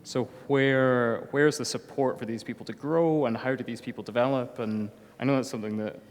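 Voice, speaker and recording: A man's voice: medium pitch, steady tone. Engaged man on a panel, echoing hall. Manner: engaged, quick, questioning. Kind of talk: casual panel talk. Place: festival talk hall. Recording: long hall reverb.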